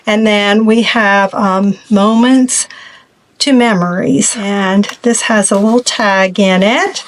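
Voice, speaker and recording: A middle-aged woman chatting casually as she shows her craft projects. She rambles with many ums and asides, and her voice is going hoarse. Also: medium pitch, slow, a little hiss or hum. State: losing her voice. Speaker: craft video host. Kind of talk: casual show-and-tell of crafts.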